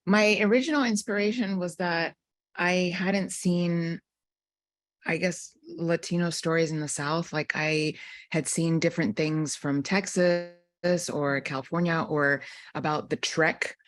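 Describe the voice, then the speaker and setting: A woman's voice: lowish voice, steady tone, unhurried. A woman filmmaker from North Carolina answering panel questions in a reflective way, with frequent ums. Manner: reflective, many ums and likes.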